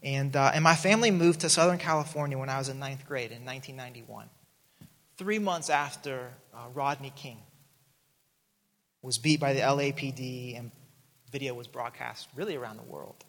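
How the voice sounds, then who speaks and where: A man's voice: medium pitch, normal speed, fairly bright tone, long reverb tail. A man in his thirties who grew up in South America, preaching a sermon in an earnest way and often restarting his sentences. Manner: earnest, repeats words when starting a thought. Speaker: pastor.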